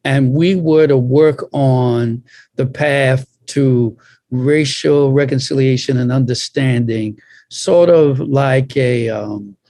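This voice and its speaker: A man in his sixties on a panel, sharing personal recollections in measured, deliberate phrases. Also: mid-range voice, dark tone, no echo. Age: 60s.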